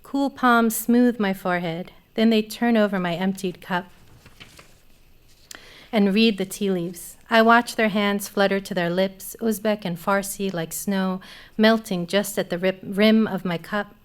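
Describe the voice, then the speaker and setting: A woman in her thirties, a poet from Afghanistan, reading poems and then speaking thoughtfully, with many pauses, into a studio mic on a small stage. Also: medium pitch, fairly even intonation, clean tone. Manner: thoughtful, hesitant with many fillers. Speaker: poet and literature teacher. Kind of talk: poetry reading and panel conversation. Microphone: studio mic on a stand.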